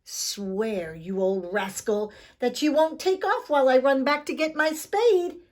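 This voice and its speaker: Woman playing a cocky young man, very animated. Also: high-pitched, measured pace, pitched above the performer's own voice. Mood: bold, urgent. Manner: bold, boastful, demanding. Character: cocky, greedy young Irish man seeking treasure.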